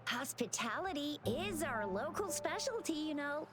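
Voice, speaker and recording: A woman's voice: high voice, sing-song, normal speed. A woman voicing a cheerful, brash young patroller who wants to be a hero in a video game, peppy and eager. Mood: breezy, eager. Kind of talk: video game character acting. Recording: game music behind the voice.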